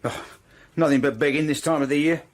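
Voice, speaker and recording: An actor playing a cheeky, grumbling young household servant in a radio play, with a breezy manner. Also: male voice, a little high, steady tone, a little fast, lightly acted. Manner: breezy, complaining.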